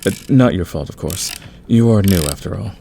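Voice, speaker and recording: A calm veteran thief acted by a man with a very low, creaky voice. He is patient and friendly, smoothing things over conversationally in an audio roleplay, with some noise behind him. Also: moderate pace. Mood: gentle, explanatory. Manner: soothing, patient, chuckling, conversational. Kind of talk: ASMR audio roleplay acting.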